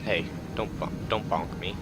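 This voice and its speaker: A man with a lowish voice talks casually through a game playthrough. He is laid-back and rambling, often hesitant, and clear game music plays behind him. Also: fairly even intonation, measured pace. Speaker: game player commentating a playthrough. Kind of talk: casual game commentary, partly reading on-screen tips.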